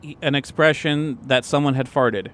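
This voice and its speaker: A man's voice: mid-range voice, normal speed, fairly bright tone. A man bantering casually as a tabletop game player, informal and given to repeating himself.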